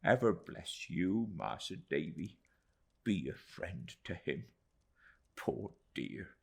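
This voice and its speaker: A man plays a humble old widow of the seashore in a classic storybook. She speaks in a rustic, earnest way, plaintive and devoted, with a swooping pitch. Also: animated, takes it slowly, gravelly, darkish tone, husky, exaggerated voice. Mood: pleading, tearful. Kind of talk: classic novel audiobook character.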